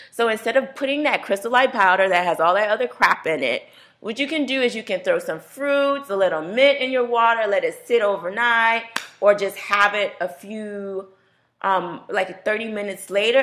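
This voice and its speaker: A woman hosts a radio health show in a loose, chatty way. Her pitch sits in an ordinary middle range. She often laughs and plays out what other people say. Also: a bit bright. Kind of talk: casual radio talk.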